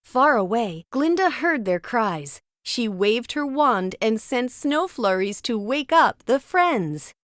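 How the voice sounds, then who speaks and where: Animated woman reading a children's storybook. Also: fairly high pitch, slow, hardly any pauses, dry recording, studio quality. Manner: expressive, dramatic storytelling. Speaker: storybook narrator. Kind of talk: read-aloud scripted children's narration.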